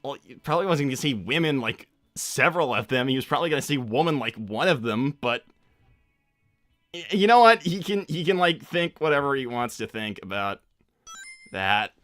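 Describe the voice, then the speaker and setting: A man voicing a cocky, easily exasperated teenage cartoon hedgehog, chatting off the cuff on a livestream in a casual, halting way that repeats itself. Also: medium pitch, animated, a bit bright, dry recording, soft background music, natural voice. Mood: awkward, bemused. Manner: casual, halting slacker drawl full of repetition. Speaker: livestream host answering viewer questions in character. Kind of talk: improvised in-character livestream chat.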